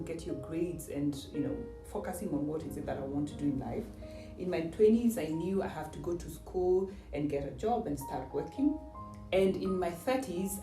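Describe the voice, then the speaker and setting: A woman of forty-seven, chatting reflectively as a guest in a house. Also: low voice. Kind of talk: casual chat, reflective. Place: indoors in a house. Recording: roomy echo, distant mic, music behind. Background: background music.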